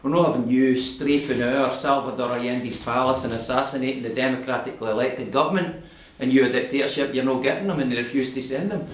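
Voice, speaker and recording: A man's voice: mid-range voice, fairly even intonation, fast. A Scottish man in his fifties giving a passionate political talk to a local audience in a community hall, building to emphatic oratory. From Scotland. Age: 50s. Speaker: guest speaker. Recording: echoey room.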